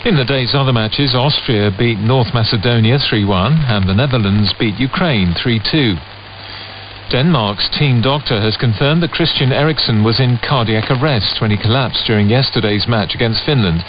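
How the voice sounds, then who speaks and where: A man reading a scripted radio news bulletin in a steady, even way. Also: mid-range voice, normal speed. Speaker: newsreader. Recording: muffled shortwave radio with faint static.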